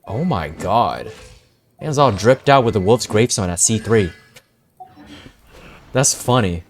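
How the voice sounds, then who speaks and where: A young man reacting casually to a game on stream, slangy and excitable, often repeating himself. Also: fairly low pitch, unhurried. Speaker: gaming streamer.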